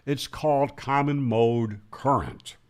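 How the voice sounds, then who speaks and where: A man's voice: mid-range voice, slow pace, a little mellow, clear, resonant tone. A man giving an explanatory tutorial as a radio instructor, in an emphatic, didactic manner, posing questions and then answering them. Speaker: ham radio instructor and presenter.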